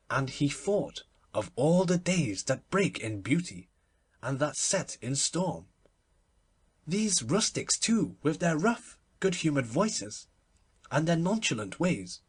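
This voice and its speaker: A man narrates classic literary fiction with a very husky, breathy voice set a little high. His delivery is measured and expressive, with lively rises and falls that shape each phrase of the story. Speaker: audiobook narrator.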